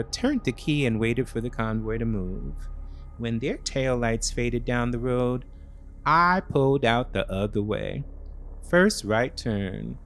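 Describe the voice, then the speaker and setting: A man giving dramatic, scripted first-person thriller narration in deliberate, clipped phrases. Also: medium pitch, fairly bright tone, a little hiss or hum. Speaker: storyteller.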